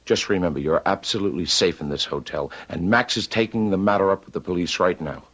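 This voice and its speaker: A man's voice: low voice, quick pace, a bit bright. An actor playing a serious, protective man in an old-time radio drama, earnest and probing. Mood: concerned. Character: protective, watchful, serious man, steady and suspicious. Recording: dull lo-fi radio drama recording.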